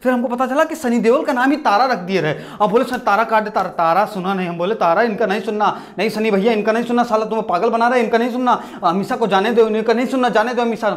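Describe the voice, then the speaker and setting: Young guy w/ up doing standup, high voice, yelling at movie characters, lapel mic in a small room. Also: quick pace, few pauses. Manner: rustic storyteller, shouts at the screen, acts out every character. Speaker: stand-up comedian. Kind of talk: comic movie retelling. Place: small indoor room with posters. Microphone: lapel mic, camera at mid distance.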